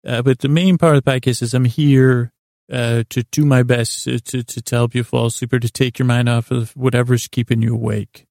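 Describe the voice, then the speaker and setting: A man hosting a sleep podcast, rambling in a meandering, hesitant, deliberate way with a self-deprecating streak. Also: medium pitch, level tone, creaky, a little mellow, no echo, studio quality. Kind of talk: rambling bedtime monologue.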